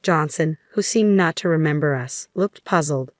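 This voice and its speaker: A woman's voice: sits low, moderate pace, dry recording, studio quality. A woman narrating a scripted first-person story as the storyteller.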